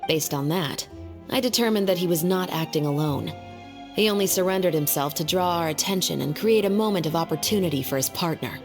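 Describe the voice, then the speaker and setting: A woman playing a stern, principled young police captain in a video game, speaking firmly and in a measured way. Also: lowish voice, big-room sound, music in the background, close to an everyday voice. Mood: composed, explaining. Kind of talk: dramatic game voice acting.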